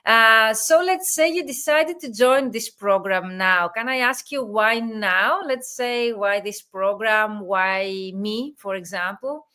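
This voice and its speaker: A woman's voice: mid-range voice, slow, hardly any pauses, bright, forceful tone. Chatty woman hosting a video interview. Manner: chatty, many "let's say" fillers. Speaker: business coach and host. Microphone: video call.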